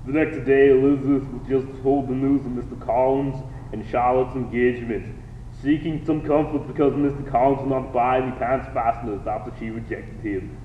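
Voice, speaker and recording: A man's voice: medium pitch, fairly even intonation, moderate pace, natural voice. A man playing a formal narrator, reading a scripted 1920s radio drama parody as a storyteller. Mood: storytelling. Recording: tinny, echoey, distant, faint hiss.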